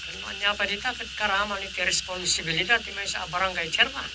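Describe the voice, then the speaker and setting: A man's voice: sits high, steady tone, quick pace, creaky, noisy background. A man acting in a radio drama, talking in a chatty, explaining way.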